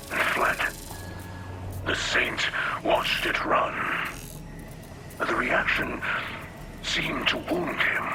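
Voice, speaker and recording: An actor playing a solemn, weary but hopeful alien leader in a video game, with a breathy, rasping whisper and formal, halting phrases. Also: male voice, fairly high pitch. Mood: reflective. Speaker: leader and mentor. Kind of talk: video game cutscene acting.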